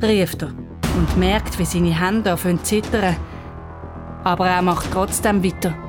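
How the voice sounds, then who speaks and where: A woman's voice: on the low side, fairly even intonation, unhurried. A woman with a Swiss accent narrating a scripted children's story. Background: music.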